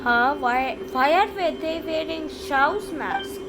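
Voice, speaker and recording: High-voiced, unhurried woman streaming games. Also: animated. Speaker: gaming streamer. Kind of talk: casual gameplay commentary. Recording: loud game music behind the voice. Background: video game music and sounds.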